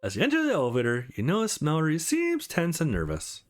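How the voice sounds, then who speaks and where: A man reading visual novel narration aloud in an expressive, theatrical way, as a let's play streamer. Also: a little high, fast. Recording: music behind the voice.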